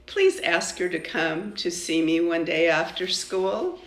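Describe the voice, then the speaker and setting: Woman reading as a measured, firm, kindly schoolteacher, slightly echoey. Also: on the low side, sing-song, full character voice. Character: firm, kindly female schoolteacher in a children's storybook.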